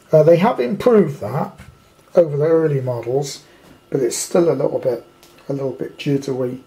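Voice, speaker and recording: Higher-pitched, mellow British man, rambling. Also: poor audio. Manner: rambling, blunt, colloquial. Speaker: amateur radio hobbyist reviewing a kit. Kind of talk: casual off-the-cuff product review. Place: indoors at a tabletop.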